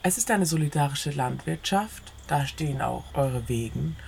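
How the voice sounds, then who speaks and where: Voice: mid-range voice, lively intonation, moderate pace, creaky, darkish tone, slight background noise. A narrator telling a first-person audio story in the present tense, weaving quoted dialogue into the narration.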